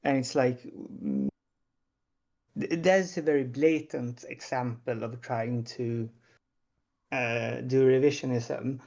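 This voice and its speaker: A man with a Swedish accent speaks slowly and hesitantly, pausing often. His voice is mellow with a gravelly edge. The audio comes through a slightly dull online call. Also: medium pitch. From Sweden. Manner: hesitant, many pauses and fillers. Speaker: panelist. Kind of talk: casual panel discussion.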